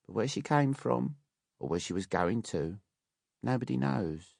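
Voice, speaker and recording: A man's voice: medium pitch, expressive, a little slow, gravelly, a little mellow, husky, dry recording, clean studio sound, voice pushed up, exaggerated voice. Man putting on a plaintive old pauper nurse.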